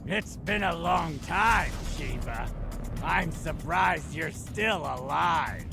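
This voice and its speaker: A man playing a power-hungry old military commander in a video game, a gravelly, theatrical villain used to giving orders. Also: on the high side, lively intonation, over music, exaggerated voice. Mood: bitter scolding. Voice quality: gravelly, creaky. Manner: theatrical, commanding, drawn-out delivery.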